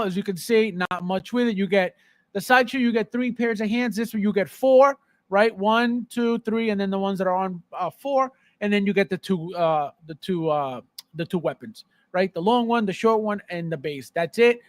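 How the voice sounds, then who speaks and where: A man's voice: high voice, no echo. A man hosting a casual live stream about collectibles, conversational, repeating phrases for emphasis and checking in with the listener.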